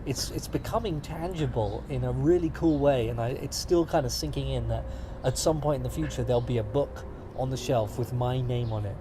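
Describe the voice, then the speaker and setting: A man's voice: mid-range voice, brisk. Articulate young British man, noisy recording. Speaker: guest. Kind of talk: casual interview conversation. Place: studio in front of cameras.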